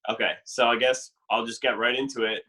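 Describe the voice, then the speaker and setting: A young man from Canada chats on a podcast with a bright, clear-edged voice at an ordinary middle pitch. He rambles easily and leans on fillers and "like" as he tells his stories. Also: steady tone, brisk. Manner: chatty, lots of fillers and "like". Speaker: dating show contestant. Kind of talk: casual podcast chat.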